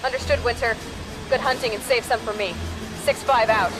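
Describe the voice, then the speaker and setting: A woman playing a brisk, confident military pilot making businesslike radio callouts in a video game. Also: a little high, fairly even intonation, over loud music, close to an everyday voice, poor audio. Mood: brisk, focused. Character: brisk, confident female military aircraft pilot giving support.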